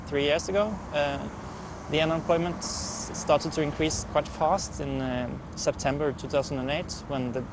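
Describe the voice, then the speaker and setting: A man from Norway explains labor statistics in easy English, pausing on fillers and restarting phrases. His voice is slightly high for a man. Street and car noise are clearly heard around him outdoors. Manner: fluent with frequent fillers and restarts. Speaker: interviewed expert on labor market. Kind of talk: interview answers.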